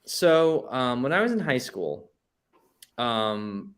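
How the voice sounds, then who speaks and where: A man with a mid-pitched voice speaks as a musician being interviewed. His delivery is conversational and earnest, explaining things in a casual, unscripted way. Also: very animated, slow pace, a bit bright. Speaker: touring band musician, interview guest.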